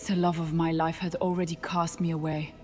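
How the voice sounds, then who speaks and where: A woman playing a gentle, sorrowful young witch who has lived as a boy, speaking in an earnest, measured, halting way. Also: deep voice, little pitch movement, faint music behind, natural voice. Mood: sorrowful confession.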